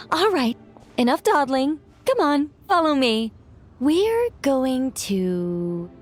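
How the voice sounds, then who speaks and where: A whimsical female mage from a video game, speaks in a high, youthful voice. She is composed and musing, dodging questions playfully and taking her time. Soft music plays behind her. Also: full character voice. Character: whimsical, composed female mage, once an angel, young-sounding. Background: background music.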